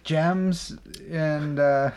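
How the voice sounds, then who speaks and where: Male co-host, 20s, voice a bit high, loose jokey podcast banter. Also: steady tone, extremely slow, darkish tone, clear, resonant tone. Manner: joking, bantering. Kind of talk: casual chat.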